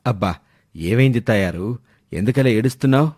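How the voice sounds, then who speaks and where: A man's voice: mid-range voice, expressive, a little fast, darkish tone, no room sound, studio quality, close to an everyday voice. A man playing a stern, exasperated but yielding father, reproachful and grumbling, in a moral story. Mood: concerned.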